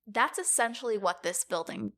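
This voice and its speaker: A woman's voice: medium pitch, lively intonation, moderate pace, a bit bright. A young adult woman co-hosting a podcast, explaining a topic in a casual conversational way. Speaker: podcast co-host presenting the episode's topic.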